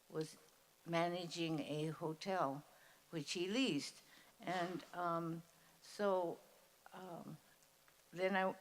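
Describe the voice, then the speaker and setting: An older woman in her eighties recalls her school years slowly, often pausing with fillers to find her words. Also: sits low, rough recording. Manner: slow, searching for words with many fillers. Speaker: Japanese internment survivor speaker. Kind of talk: personal storytelling at a school event. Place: school event hall.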